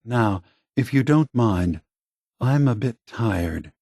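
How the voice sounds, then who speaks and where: A man playing a weary, grave synth leader in a video game, speaking deliberately and solemnly with a husky voice. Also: medium pitch, animated, dark tone, dry recording, clean studio sound, exaggerated voice. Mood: weary acceptance. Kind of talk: scripted video game voice acting.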